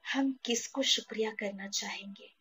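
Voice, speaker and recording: A woman from India guiding a meditation, in short, measured phrases with pauses between them. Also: medium pitch, sharp tone, a little hiss or hum. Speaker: guided meditation teacher. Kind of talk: slow guided meditation. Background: background music.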